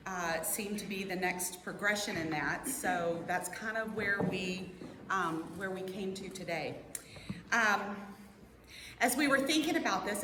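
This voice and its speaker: Woman presenting in an echoey, noisy hall. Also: lowish voice. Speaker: workshop presenter at a church women's meeting. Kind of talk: informal prepared talk to a group. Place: large echoey indoor room. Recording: echoey hall with clear background noise.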